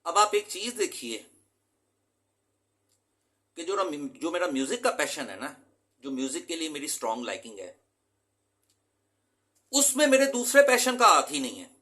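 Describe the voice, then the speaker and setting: A man from Pakistan lectures his students as an English teacher, earnest and emphatic, often dropping in English terms. His voice sits a little high and sounds bright and forceful. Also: big pitch swings, moderate pace, pauses often.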